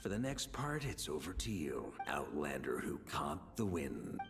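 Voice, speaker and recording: An actor playing a bold, easygoing grand master of knights in a video game, with a hearty, casual confidence. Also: male voice, a bass voice, sing-song, unhurried, over loud music, exaggerated voice. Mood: resigned. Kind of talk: dramatic video game voice acting.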